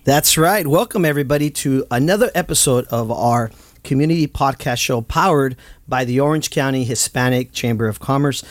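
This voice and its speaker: A man's voice: medium pitch, fairly quick, no echo. Upbeat man hosting a community podcast. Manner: upbeat, laughs often, gives shout-outs. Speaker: podcast host. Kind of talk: casual interview hosting.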